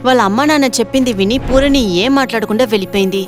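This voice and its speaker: A woman's voice: on the high side, very fast, over music. A woman reading a scripted story as its narrator.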